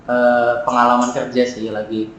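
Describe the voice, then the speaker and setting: A man in his twenties with a Jakarta accent, chatting as a podcast guest in a relaxed, halting way with frequent "eh" fillers and chuckles. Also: mid-range voice, very echoey, slight background noise. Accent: Jakarta Indonesian. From Jakarta, Indonesia. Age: 20s. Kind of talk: casual podcast chat.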